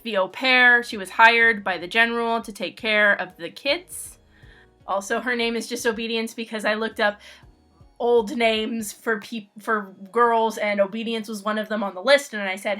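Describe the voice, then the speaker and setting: A woman's voice: a little high, sharp tone. A woman answering fan questions about her own comic in a casual, jokey and informal way. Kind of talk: casual playful chat.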